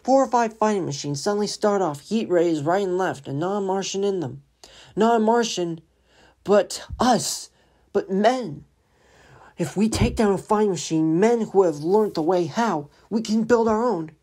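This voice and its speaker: A man's voice: high voice, measured pace, darkish tone, voice pushed up. A man voicing a fervent, half-crazed artilleryman survivor in a radio play, ranting and insistent. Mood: fervent, excited. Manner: insistent, ranting, punctuating with "see?". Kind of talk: old-time radio drama acting.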